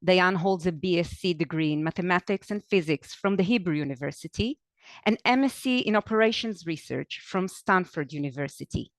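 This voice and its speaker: A woman's voice: on the low side, a little fast, dry recording. A woman hosting an interview, reading a formal, scripted introduction and then asking questions. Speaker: host.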